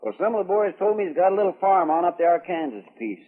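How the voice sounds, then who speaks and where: A man's voice: high voice, big pitch swings, quick pace, breathy edge, exaggerated voice. A man playing a folksy, deferential marshal's sidekick in a radio western, with a rural American accent. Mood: dutiful, earnest. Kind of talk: 1950s radio western acting. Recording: muffled, echoey vintage radio broadcast.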